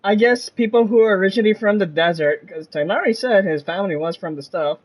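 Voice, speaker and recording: Live game streamer: medium register, relaxed conversational commentary, musing aloud. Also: mid-range voice, quick pace. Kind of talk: casual live gameplay commentary.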